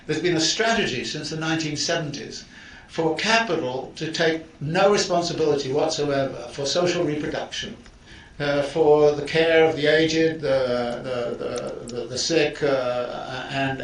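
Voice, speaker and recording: A man lecturing students as a visiting professor, steady and explanatory, circling back to restart his phrases. He sounds set back from the mic in a reverberant room, with a faint hiss behind him. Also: fairly high pitch, measured pace, recorded from a distance.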